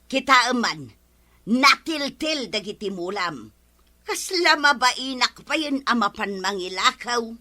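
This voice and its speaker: A woman's voice: on the high side, lively intonation, unhurried, sharp tone. An actress playing a teasing, chatty village woman in a comedy radio drama, drawing out her words with an Ilocano accent. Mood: chiding.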